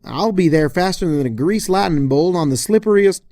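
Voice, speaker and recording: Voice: mid-range voice, expressive, normal speed, dark tone, no echo, studio quality, voice pushed up, close to an everyday voice. A narrator putting on a hearty drawling cowgirl pony. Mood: eager. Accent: American country drawl. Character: friendly, folksy female cowgirl pony.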